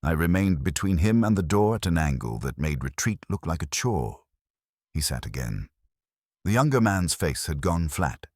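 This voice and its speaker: A man's voice: deep voice, big pitch swings, moderate pace, darkish tone, no room sound, studio quality. A man reading scripted first-person noir mystery narration in a wry manner.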